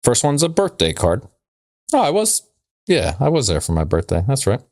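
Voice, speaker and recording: A man's voice: medium pitch, huge pitch swings, normal speed, darkish tone. A man co-hosting a casual podcast, bantering and reading letters aloud in a conversational way. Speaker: podcast co-host.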